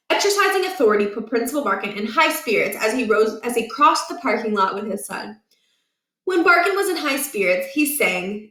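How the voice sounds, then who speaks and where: A woman reading a children's chapter book aloud in a lively, expressive way. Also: fairly high pitch, roomy sound. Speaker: storybook reader.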